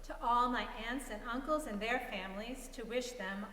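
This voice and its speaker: A woman's voice: on the high side, normal speed, a loud crowd. A woman reading a story aloud in a dramatic narration. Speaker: reader in a church staff dramatic reading. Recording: echoey hall, distant mic, faint noise.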